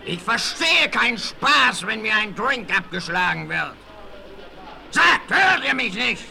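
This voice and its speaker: A brash, drunken bandit chief in an old radio western. His voice is high and piercingly sharp with a gravelly edge, and he taunts in a slow, swaggering, menacing way. The vinyl transfer carries faint noise. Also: very animated, exaggerated voice. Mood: angry, threatening. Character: lean red-haired bandit gang leader, brash, drunken, menacing bully. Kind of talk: 1960s German radio play acting.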